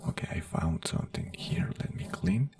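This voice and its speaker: A man whispering slowly and gently in an ASMR roleplay as a neurologist, with halting, simple phrasing. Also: dry recording, studio quality, far from the mic. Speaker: ASMR creator playing a neurologist.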